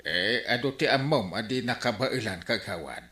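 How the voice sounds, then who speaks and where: Animated village captain. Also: male voice, on the low side, normal speed. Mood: unworried. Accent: Ilocano. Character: village captain, animated, dismissive comic official. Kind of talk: Filipino comedy radio drama acting. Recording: roomy, echoing studio sound.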